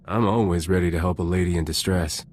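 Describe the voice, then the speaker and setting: A man's voice: sits low, fairly even intonation, quick pace, darkish tone, a little hiss or hum, natural voice. Man playing a cocky, charming smuggler captain. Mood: charming, assured. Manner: flippant, flirtatious, cool. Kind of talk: video game cutscene acting.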